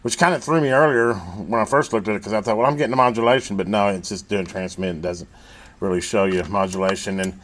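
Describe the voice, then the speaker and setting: A man talking casually through a hands-on repair as a technician, folksy and thinking aloud at his own unhurried rate. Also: lowish voice. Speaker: radio repair technician and video host. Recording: loud electrical hum and background noise.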